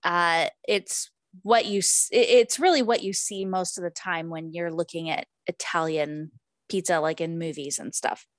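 A woman's voice: lowish voice, steady tone, normal speed, dry recording. Chatty woman podcast host, dry sound. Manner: chatty, fillers and restarts, laughs at herself. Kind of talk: casual chat.

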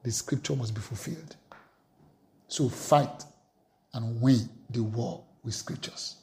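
A man's voice: mid-range voice, slow, gravelly, a little mellow. A man preaching a sermon, reading scripture aloud with emphatic, rising delivery.

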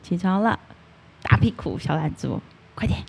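A woman's voice: on the low side, expressive, normal speed, gravelly, slight background noise. A woman playing a tender, teasing girlfriend waking her lazy boyfriend in an intimate ASMR roleplay, partly whispering. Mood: tender, playful coaxing.

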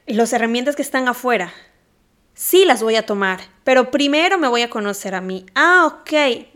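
A woman hosting a solo podcast, talking casually and animatedly, speaking straight to her listeners. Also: a little high. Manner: animated, addresses listeners directly, occasional laughs. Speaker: podcast host.

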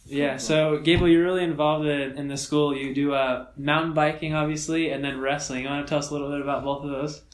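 Joking male co-host, monotone delivery, echoey room sound. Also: a little high, fairly quick, few pauses. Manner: jokey, chatty asides. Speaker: interview co-host. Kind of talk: casual interview. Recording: roomy echo.

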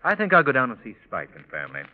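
An actor playing an amused, wealthy young gentleman in an old radio drama. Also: medium pitch, huge pitch swings, racing. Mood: genial, brisk. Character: urbane, amused, wealthy young man about town. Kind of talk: 1940s radio drama acting. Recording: tinny, noisy old radio recording.

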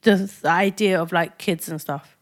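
A woman, co-hosting a casual podcast chat, teasing and quick to question and interject. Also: lowish voice. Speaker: podcast co-host.

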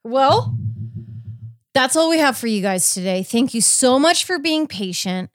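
A woman's voice: high voice, animated, a little slow, dry recording, studio quality. A woman co-hosting a true crime podcast in casual, conversational style. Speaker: podcast co-host.

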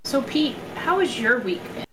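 Bubbly, shrill-voiced woman podcast host. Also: big pitch swings, normal speed. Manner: bubbly, laughs often. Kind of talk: casual podcast chat. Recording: echoey room with background noise.

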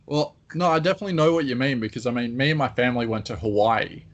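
A man's voice: mid-range voice, quick pace. A man with an Australian accent, co-hosting a podcast, telling anecdotes in easygoing casual chat. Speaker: podcast co-host.

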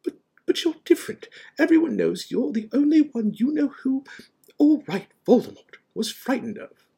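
A man voicing a stern, severe older witch professor in an audiobook, prim and impatient, with sharp, clipped, indignant delivery. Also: high voice, very animated, moderate pace, creaky, slightly rough, pitched above the performer's own voice, heavily acted. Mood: exasperated, anxious. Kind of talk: audiobook character voice.

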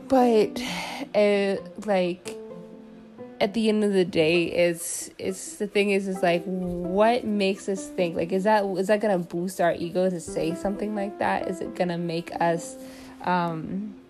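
A woman's voice: on the low side, darkish tone. A young woman hosting her first podcast late at night, musing aloud in a tired voice and rambling with many fillers and restarts. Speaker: podcast host. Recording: clear music playing behind.

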